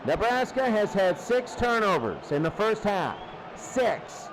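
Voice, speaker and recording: A man's voice: sits high, sing-song, takes it slowly, background noise. A man announcing football play-by-play as live sports commentary, in short clipped phrases.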